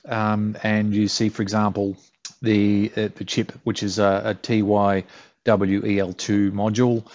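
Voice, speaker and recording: A man's voice: fairly low pitch, no room sound. A middle-aged man with an Australian accent talks through a tech tutorial in a loose, joking, self-mocking way. The sound is dry and close, with little room around it and a slightly dull, muffled top end. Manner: chatty, self-deprecating, blunt with mild swearing. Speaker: tutorial host. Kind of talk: casual screen-recorded tech tutorial.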